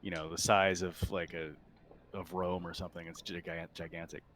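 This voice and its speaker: A man running a tabletop sci-fi roleplaying game as game master, improvising his narration in a halting, thinking-aloud way. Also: low voice, little pitch movement, fairly bright tone, a little hiss or hum.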